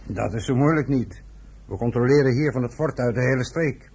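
An actor playing a hard, contemptuous police inspector in a radio play, with a brisk, authoritative manner. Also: male voice, mid-range voice, a little mellow, slightly rough. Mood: businesslike.

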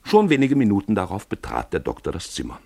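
A man's voice: sits low, huge pitch swings, fast, vocal fry, darkish tone, no echo. A man narrating a literary radio drama from a script, with a dramatic storytelling manner.

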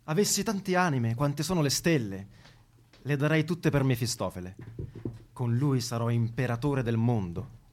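A man's voice: mid-range voice, expressive, normal speed, a little mellow, a little hiss or hum, natural voice. A man playing a power-hungry scholar who sells his soul, delivering a grandiose theatrical monologue. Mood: grandiose, ambitious.

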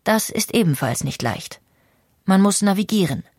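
A woman reading scripted documentary narration in an explanatory, measured way. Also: medium pitch, animated, a little mellow, breathy edge, no room sound, studio quality.

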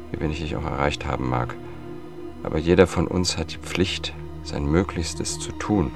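Dry gruff investigator in a radio play, really deep voice, music behind. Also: male voice. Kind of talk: radio drama acting. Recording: music bed under the voice.

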